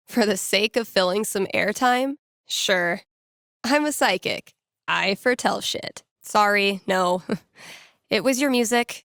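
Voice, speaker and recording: A woman's voice: mid-range voice, gravelly, fairly bright tone, no echo, studio quality. An actress playing a young American woman who works as a small-town radio DJ in a video game, with wry, playful, teasing conversational patter. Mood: casual, wry. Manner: wry, sardonic, improvising storyteller.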